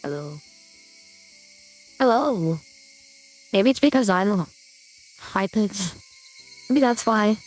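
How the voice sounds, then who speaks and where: A woman using a voice-changer filter that makes her sound like a cute, high-pitched girl, talking playfully. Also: lively intonation, takes it slowly, long pauses, faint music behind, rough recording. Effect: high girly voice-changer filter.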